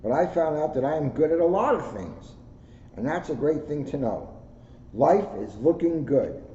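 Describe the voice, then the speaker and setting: A man, a police officer, reading a children's book aloud with jokey, expressive storytelling and casual asides. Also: a little high, normal speed. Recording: roomy echo, muffled audio, faint noise.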